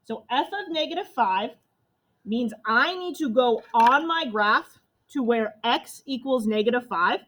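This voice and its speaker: A young woman with a loud voice, teaching a math class step by step. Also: on the high side, lively intonation, a little slow. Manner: step-by-step explaining to students.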